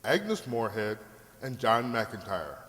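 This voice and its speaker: A man's voice: fairly low pitch, big pitch swings, takes it slowly, fairly bright tone, exaggerated voice. A man playing a formal announcer presenting a 1940s radio program. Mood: formal, proclaiming. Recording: reverberant hall stage.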